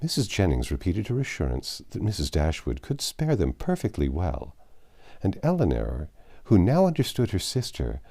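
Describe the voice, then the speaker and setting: Low, warm-toned man reading aloud. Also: huge pitch swings, gravelly. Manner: measured storytelling with occasional slips. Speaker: narrator. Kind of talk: reading a classic novel aloud.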